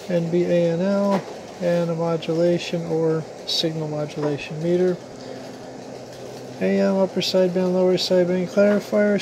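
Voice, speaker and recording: An American man giving a casual, matter-of-fact product demonstration in short, plain-spoken phrases. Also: high-pitched. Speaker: radio hobbyist presenter. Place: small indoor room at a radio bench. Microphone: handheld camera or phone mic. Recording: clear steady background hiss and hum.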